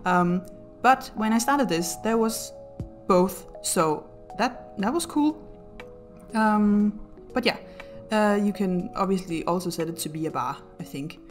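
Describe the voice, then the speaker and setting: A Danish woman with a Danish accent, talking through her build in a casual voice-over at home, halting with many ums and self-deprecating laughs. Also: medium pitch, faint music behind. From Denmark. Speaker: YouTuber narrating a build video.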